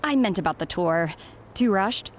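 A woman plays a middle-aged female recruiter in a video game story scene. She is brisk and businesslike, always half distracted and harried. Her mid-pitched voice sits over noticeable background ambience. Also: lively intonation, a little hiss or hum, lightly acted. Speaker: head recruiter. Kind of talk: naturalistic video game cutscene acting.

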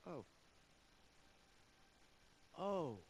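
A man's voice: on the high side, big pitch swings, extremely slow, darkish tone, dull audio, a little hiss or hum, heavily acted. Awkward, stammering young man. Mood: flustered. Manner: hesitant, stammering. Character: awkward, well-meaning young man, big-brotherly friend. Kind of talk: naturalistic video game acting.

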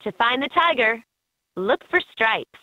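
A woman's voice: on the high side, lively intonation, a little slow, pushed and bright, dry recording. A woman voicing an upbeat guide in a children's educational animal game, in scripted narration with slightly dull game audio.

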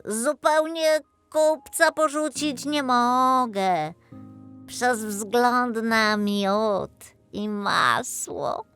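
A woman's voice: fairly high pitch, extremely slow, fairly bright tone, smooth, voice pushed up, heavily acted. A small male gnome from a children's storybook voiced by a woman. The voice sits raised above ordinary talk and belongs to a practical little house spirit who loves his treats. Music plays faintly behind it. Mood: resolute. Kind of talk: children's audiobook character.

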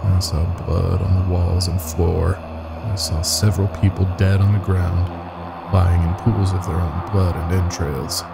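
A man's voice: low-pitched, gravelly. A man narrating a scary story in the first person as a podcast storyteller, with dry, wry asides.

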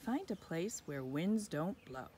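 A woman reads a picture book to young children in a slightly low voice. Her delivery is slow, with lively swings in pitch. She is recorded outdoors, with clear ambient noise and birds behind her. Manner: expressive, addressing young children, with whispered asides. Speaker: teacher reading a picture book aloud. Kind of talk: children's story read-aloud. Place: outdoors in a front yard under a tree.

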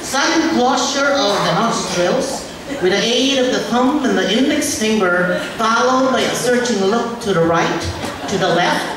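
An older Filipina comic with a Filipino accent, telling jokes in an unhurried, deliberate delivery. Her handheld mic voice echoes heavily through the club, heard from a distance with a loud, laughing crowd and room noise behind her. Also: fairly high pitch. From Philippines. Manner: animated storytelling with put-on accents. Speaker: stand-up comedian. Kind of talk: stand-up comedy routine. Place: large nightclub stage.

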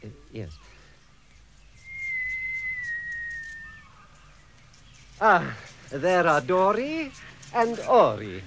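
An actor playing a courteous, wily old wizard in an audio drama, polite and theatrical with careful hesitations. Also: male voice, high-pitched, huge pitch swings, slow, fairly bright tone, a little hiss or hum, full character voice.

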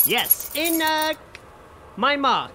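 Man playing a bright, animated, earnest guard. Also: very high-pitched, drawn-out words, a little hiss or hum, exaggerated voice. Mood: deferential. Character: earnest, deferential asylum guard, nervous underling. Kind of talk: comic radio-play acting.

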